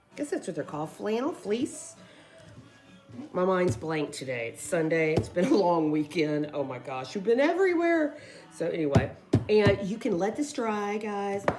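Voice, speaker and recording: Chatty woman with a hoarse, tired-out voice. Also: mid-range voice, a little mellow. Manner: rambling, informal, addresses viewers as guys. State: hoarse, losing her voice. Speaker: craft video host. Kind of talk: casual craft tutorial, chatty.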